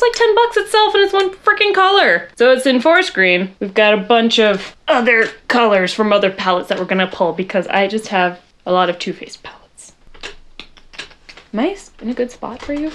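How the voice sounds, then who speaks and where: A young woman with a loud voice chatting casually in her bedroom while doing a makeup tutorial, rambling and poking fun at herself. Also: medium pitch, a little fast, a little hiss or hum. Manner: chatty, rambling, self-deprecating, talks to viewers while working. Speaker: makeup vlogger.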